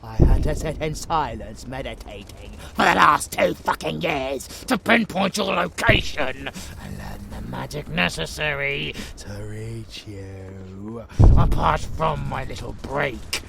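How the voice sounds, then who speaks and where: Slow, gravelly, sadistic vampire monster. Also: male voice, mid-range voice, expressive, noisy background, full character voice. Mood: bloodthirsty, relishing. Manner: menacing, foul-mouthed, savoring words slowly. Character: sadistic, gloating male vampire monster who relishes slaughter. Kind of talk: monster audio roleplay, theatrical monologue.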